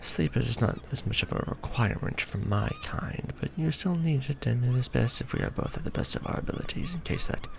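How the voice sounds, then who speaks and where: A deeply creaky, gravel-edged vampire lord played by a man speaks in formal, archaic phrases with lively rises and falls. He is proud, centuries old and doting, in a romantic ASMR roleplay with faint noise and ambience behind the voice. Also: fairly quick, few pauses. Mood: tender, courteous.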